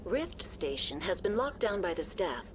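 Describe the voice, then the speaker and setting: A woman's voice: fairly low pitch, sing-song, moderate pace, noisy background. Polite, impassive female station AI. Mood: calm, impassive. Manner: formal, procedural, clipped system announcements. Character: polite, unemotional female AI running a research station. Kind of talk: scripted video game dialogue.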